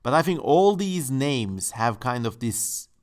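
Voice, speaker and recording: A man's voice: medium pitch, very animated, unhurried. Playful man. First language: Turkish. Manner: playful, musing, tongue-in-cheek. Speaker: channel host. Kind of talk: casual exploratory commentary. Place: home.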